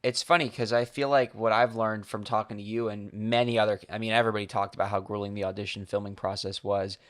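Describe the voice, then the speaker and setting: A man hosting a podcast interview, relaxed and thoughtful, often restarting his sentences. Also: lowish voice, quick pace. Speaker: podcast host. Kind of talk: casual interview.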